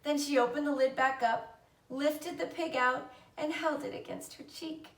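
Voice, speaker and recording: A woman's voice: high-pitched, steady tone, moderate pace. A woman narrating a children's story aloud like a teacher reading to a class. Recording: roomy echo, distant mic.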